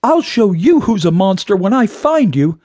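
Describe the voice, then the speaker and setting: A man's voice: high-pitched, sing-song, normal speed, mellow, husky, no room sound, clean studio sound, pitched above the performer's own voice, full character voice. A man voicing a brave, hot-tempered young female adventurer in a storybook, defiant and exclaiming. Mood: defiant, angry. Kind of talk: audiobook character voice.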